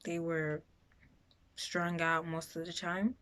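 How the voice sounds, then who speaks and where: A woman playing a frightened, bitter foster girl in a dramatic confessional monologue, halting and emotional in her delivery. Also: on the low side, little pitch movement, fairly bright tone. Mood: hushed, bitter. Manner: halting, emotional, breaking into whispers and raised outbursts.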